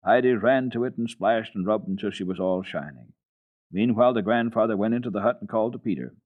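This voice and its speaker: Low-voiced man reading a children's story. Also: fast talker, phone-quality sound. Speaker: storyteller, radio program host. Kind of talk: dramatic reading of children's literature.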